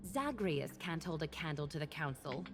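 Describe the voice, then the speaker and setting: A woman's voice: sits low, very animated. Cold, composed demigod warrior woman. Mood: icy defiance. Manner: formal, measured, poetic. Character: cold, composed female demigod warrior, menacing but courtly. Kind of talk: scripted video game acting. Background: game soundtrack music.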